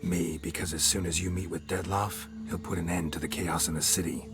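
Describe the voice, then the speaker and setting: A stoic monster hunter from a fantasy game. His voice sits very low, and he talks in clipped, deadpan phrases. Loud music plays under him. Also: brisk. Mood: matter-of-fact. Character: stoic adult male monster hunter, dry and blunt. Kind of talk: video game voice acting.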